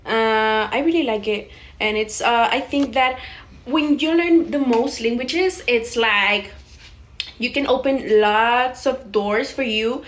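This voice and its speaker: A woman's voice: a little high, fairly bright tone. A young woman from Mexico, a native Spanish speaker, talking casually in English with a Mexican accent and lots of "like" and "um" fillers. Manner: chatty, many fillers like "like" and "um". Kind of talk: casual personal monologue.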